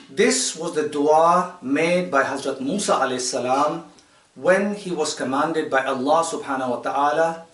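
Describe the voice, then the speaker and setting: A man in his fifties from Tanzania, speaking to a group of children in a measured, explanatory way with pauses and fillers. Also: on the high side, fairly bright tone. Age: 50s. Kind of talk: informal talk to children. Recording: echoey room, distant mic.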